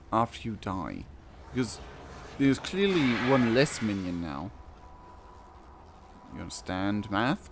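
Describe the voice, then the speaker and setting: A man's voice: medium pitch, background noise. A man casually commenting on a video game as he plays and reads quest text aloud, with dry, wry asides. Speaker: gaming video host. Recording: game music behind the voice. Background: video game music.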